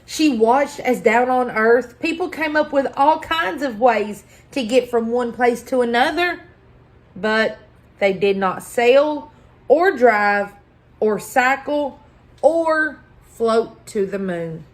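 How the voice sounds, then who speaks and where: Slow, high-voiced woman reading a picture book. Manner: deliberate, expressive storytelling with pauses for effect. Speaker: library story-time reader. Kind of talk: scripted children's picture-book narration.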